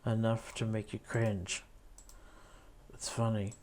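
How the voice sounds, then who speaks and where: Casual screencast editor, unhurried, mid-pitched, talking to self over keyboard clicks and background noise. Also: flat delivery, a little mellow. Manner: musing, talks to self while working. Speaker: video editor narrating own editing session. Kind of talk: casual screen-recording commentary, thinking aloud.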